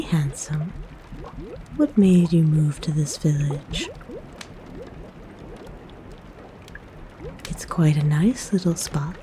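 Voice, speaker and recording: Woman playing a soft, slow-talking kind forest witch. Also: on the low side. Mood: calm, confiding. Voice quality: soft, breathy, whispery. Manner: gentle, coaxing, intimate. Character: kind, flirtatious forest witch, nurturing hermit healer. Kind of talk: ASMR roleplay, soft-spoken to the listener.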